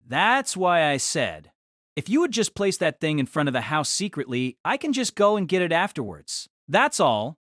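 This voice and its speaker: A man's voice: on the high side, very animated, moderate pace, fairly bright tone, dry recording, studio quality. A man playing a petulant young student mage in an audio drama, whiny and scolding. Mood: exasperated. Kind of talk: fan audio drama acting.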